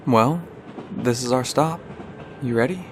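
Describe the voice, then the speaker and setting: A man acts out a young stallion looking after his little sister in an audio drama. He is mid-pitched, protective and reassuring, speaking gently over faint vehicle ambience. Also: a little slow, noisy background, lightly acted. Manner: soft, encouraging, caring. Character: young male pony, gentle, protective, reassuring big brother.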